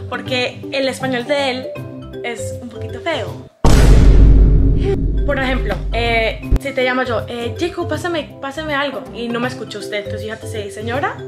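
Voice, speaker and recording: A woman's voice: fairly high pitch, moderate pace, over loud music. A young woman from Colombia chatting and teasing her partner on a casual home vlog. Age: young adult. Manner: giggly, teasing. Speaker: vlogger. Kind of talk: casual chat. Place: living room at home, indoors.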